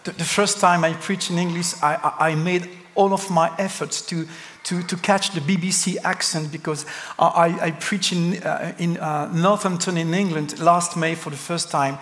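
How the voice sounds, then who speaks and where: A man with a French accent preaching a sermon as a pastor, speaking slowly and deliberately with emphatic pauses. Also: a little high, fairly even intonation, fairly bright tone, long reverb tail.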